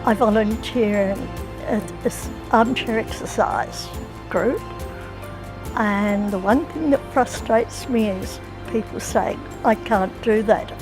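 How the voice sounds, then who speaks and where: Slow-speaking older woman over music. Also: mid-range voice, poor audio. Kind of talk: personal testimony in a video.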